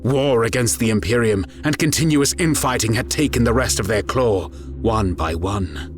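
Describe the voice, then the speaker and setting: A man narrating a dramatic scripted story in a grave, deliberate manner. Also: medium pitch, background noise.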